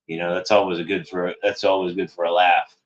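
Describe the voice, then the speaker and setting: A man's voice: low-pitched, level tone, brisk, lo-fi sound. A man hosting an internet show, delivering a meandering, sardonic monologue that keeps correcting itself. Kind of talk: rambling monologue commentary.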